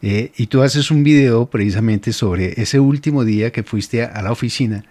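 A middle-aged man hosting a radio interview, reflective and halting, with frequent fillers. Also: medium pitch, a little mellow. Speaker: radio host.

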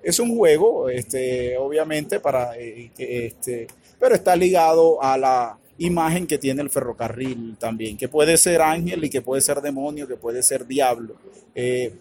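A man with a Colombian Caribbean accent, answering interview questions in a halting, thoughtful way with many filler words. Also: mid-range voice, a little mellow. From Colombia's Caribbean region. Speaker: author and academic guest. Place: radio booth at a book fair stand.

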